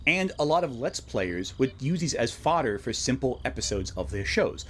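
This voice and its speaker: Lively male narrator over clear background noise. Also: medium pitch, moderate pace. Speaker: video essay presenter. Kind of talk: informal scripted video narration. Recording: noisy playback with ambience behind.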